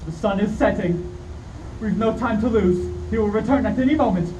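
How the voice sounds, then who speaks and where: An actor playing a grave, commanding vampire-hunting professor with emphatic, theatrical radio-play delivery. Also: male voice, high-pitched, moderate pace, warm tone, close to an everyday voice. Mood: urgent, alarmed. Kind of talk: 1930s-style radio play acting. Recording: echoey hall, distant mic, background noise.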